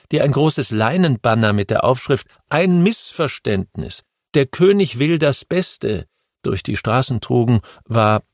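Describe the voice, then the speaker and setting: A man narrating a history audiobook in a measured, steady storytelling manner. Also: medium pitch, vocal fry, husky, no room sound, clean studio sound.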